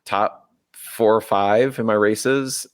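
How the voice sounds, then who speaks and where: A man's voice: lowish voice, fairly even intonation, takes it slowly. A man in his forties, a triathlete and biology teacher, talking casually in an interview and often interrupting himself mid-sentence. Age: 40s. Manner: rambling, self-interrupting, earnest.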